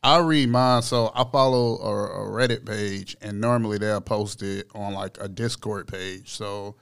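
A man's voice: lowish voice, steady tone, measured pace, vocal fry, a bit bright, clear, resonant tone. A man hosting a podcast, talking loosely in slang and often repeating himself. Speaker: podcast host. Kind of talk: casual chat.

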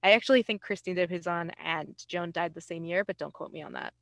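A woman's voice: lowish voice, a little fast, a bit bright, no room sound, clean studio sound. A woman hosting a podcast, telling history in a casual, informal way with wry, self-deprecating asides. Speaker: podcast host.

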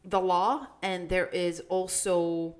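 A young woman from Iraq, co-hosting a podcast and telling a story in casual chat, with halting restarts and fillers. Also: on the low side, steady tone. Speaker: podcast co-host.

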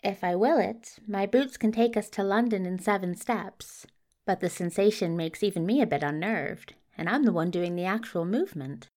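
A woman's voice: mid-range voice, normal speed, a little mellow, natural voice. A woman voicing a polite, agreeable male hero of the gentry, genteel and a little hesitant, in an audio drama. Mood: agreeable, conciliatory. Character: polite, agreeable, slightly flustered gentleman hero.

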